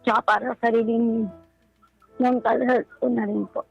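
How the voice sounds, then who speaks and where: A woman's voice: a little high, little pitch movement, moderate pace, creaky. A woman with a Filipino accent calling in to a radio show, speaking politely and hesitantly. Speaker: caller. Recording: dull lo-fi call audio. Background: faint music.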